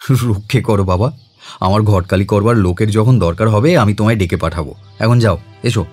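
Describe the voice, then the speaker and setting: An actor playing a mild, educated man near forty who narrates his memories in a dramatised literary audio story, in a reflective, expressive way. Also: fairly low pitch, brisk, breathy edge, faint music behind. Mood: wry.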